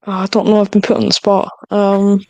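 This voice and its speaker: A woman's voice: mid-range voice, monotone, normal speed, mellow, no room sound, dull audio. A woman chatting casually as a podcast panelist, hesitant and a little flustered. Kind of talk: casual, unprepared chat.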